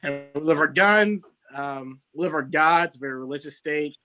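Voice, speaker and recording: Bright-voiced Texan man doing stand-up. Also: a little high, slight background noise. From Texas. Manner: halting delivery with many fillers. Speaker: stand-up comedian. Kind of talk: stand-up set.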